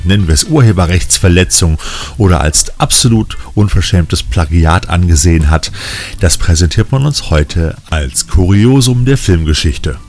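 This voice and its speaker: A man's voice: sits low, a little mellow. A man hosting a film news podcast, reading scripted previews of new releases. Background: faint music.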